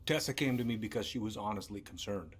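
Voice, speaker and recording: Low-voiced gruff American uncle. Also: male voice, quick pace. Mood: defensive, earnest. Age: middle-aged. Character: gruff, weary middle-aged uncle and small-town lawman. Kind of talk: naturalistic video game drama acting. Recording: noisy game capture with hum.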